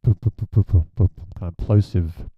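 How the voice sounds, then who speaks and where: A man with a deep voice, a gigging musician, chatting plainly through a gear review. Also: steady tone, moderate pace, breathy edge, dry recording, phone-quality sound.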